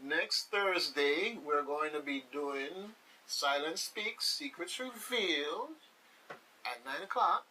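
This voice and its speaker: Bright-voiced man hosting radio talk. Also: a little high, lively intonation, slow pace, far from the mic, slight background noise, low-quality recording. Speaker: radio talk show host. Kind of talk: radio panel discussion.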